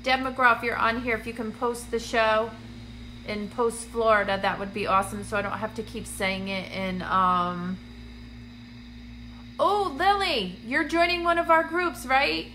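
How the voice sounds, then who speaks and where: A woman, a medium hosting a phone live stream from a dim room, chatty and full of ums, sounding congested and a little raspy. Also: on the high side, unhurried, bright, forceful tone, slight background noise, rough recording. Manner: chatty, greets viewers by name, many ums. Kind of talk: casual livestream chat to viewers. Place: dim indoor room, seated on a couch. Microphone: phone camera at arm's length.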